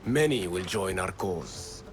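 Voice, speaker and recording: An actor playing a hardened, defiant rebel leader in a video game, speaking with resolute command. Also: male voice, low-pitched, lively intonation, slow pace, over music. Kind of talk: dramatic video game acting.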